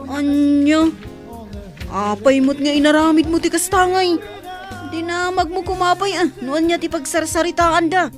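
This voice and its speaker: A woman's voice: extremely high pitch, a little slow. A woman playing a level-headed male buddy in an audio drama, questioning and admonishing. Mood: taken aback. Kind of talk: Ilocano audio drama acting. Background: faint music.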